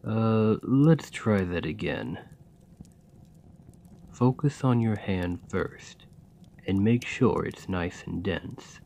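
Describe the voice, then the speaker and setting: A man playing a kind, patient gravedigger in a soft, soothing, encouraging ASMR roleplay. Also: on the low side, takes it slowly, gravelly, pauses often, a little hiss or hum. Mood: gentle encouraging. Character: kind, gentle gravedigger, patient and playfully fond. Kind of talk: ASMR roleplay, scripted comforting monologue.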